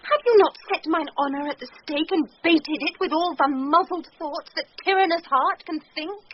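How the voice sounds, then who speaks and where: A woman's voice: extremely high pitch, normal speed. A British woman playing a proud, lovestruck noblewoman, speaking stately and ardent verse in a Shakespearean radio drama. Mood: ardent, ashamed. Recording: muffled 1990s radio broadcast, faint noise.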